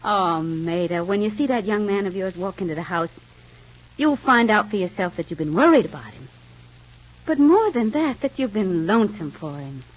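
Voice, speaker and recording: A gentle, reassuring woman friend played by an actress in a 1950s radio soap. Her voice is breathy and careful, soothing and concerned. The recording is old and dull. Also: medium pitch, lively intonation, normal speed, natural voice.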